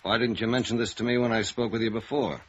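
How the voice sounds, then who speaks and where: Husky, businesslike radio police sergeant. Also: male voice, lowish voice, steady tone, quick pace, fairly bright tone. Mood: questioning, firm. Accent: American. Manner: plain, methodical questioning. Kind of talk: 1950s American radio drama acting. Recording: dull, muffled old radio recording.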